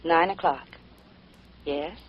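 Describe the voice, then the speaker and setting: Hushed mystery woman, dull recording. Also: low-pitched, slow, breathy edge, exaggerated voice. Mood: mysterious. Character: mysterious, insistent strange woman setting a secret meeting. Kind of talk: 1950s radio noir acting. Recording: dull, quiet vintage radio recording.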